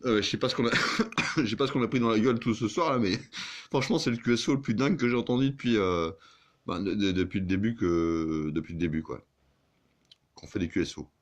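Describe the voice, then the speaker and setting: A man's voice: fairly low pitch, fairly quick. A man chatting casually as an amateur radio operator, with crude, joking, sweary banter.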